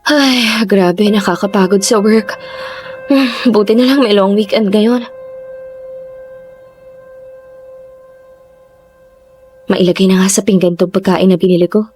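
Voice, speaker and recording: A woman's voice: mid-range voice, normal speed, a little mellow, close to an everyday voice. A woman acting a weary, dutiful young daughter. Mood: tired. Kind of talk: Tagalog radio drama acting. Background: music.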